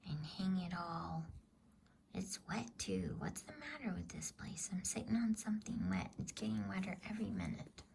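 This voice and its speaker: An earnest eldest schoolboy from a storybook, whispered by a woman. The voice is breathy and emphatic, with a creaky edge and a dark, soft tone. Faint noise sits behind it. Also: medium pitch, very animated, moderate pace. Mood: grumbling. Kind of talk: whispered ASMR storybook dialogue.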